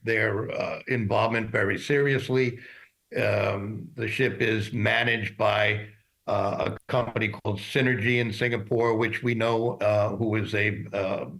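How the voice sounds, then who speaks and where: Low-voiced man giving expert analysis. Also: a bit bright, low-quality recording. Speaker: shipping industry expert being interviewed. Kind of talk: news interview analysis.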